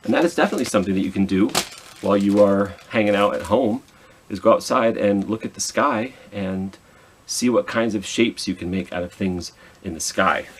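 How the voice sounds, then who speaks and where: A man's voice: lowish voice, a little fast, breathy edge. A man hosting a children's storytime, reading aloud with chatty asides and questions to his listeners. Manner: chatty, self-talking, asks listeners questions. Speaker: children's library storytime host.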